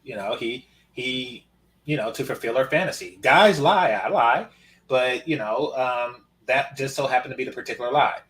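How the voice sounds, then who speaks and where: A man's voice: mid-range voice, normal speed, fairly bright tone, rough recording. A man from Oakland chatting casually as a podcast co-host, telling stories in a wry, matter-of-fact way. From Oakland, California. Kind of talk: casual chat.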